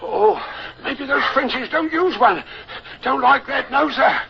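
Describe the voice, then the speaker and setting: An actor playing a seasoned, loyal seaman, hearty and deferential, with salty colloquial talk and a gravelly voice in an old radio drama. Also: very high voice, unhurried, full character voice. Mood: steady, concerned. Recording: muffled, very noisy old radio recording.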